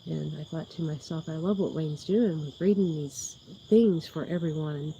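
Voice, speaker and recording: Soothing, low-pitched woman rambling to viewers. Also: normal speed, dark tone, lo-fi sound, a little hiss or hum. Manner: chatty, loses her train of thought, laughs often. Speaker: YouTube livestream host. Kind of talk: rambling casual chat with viewers.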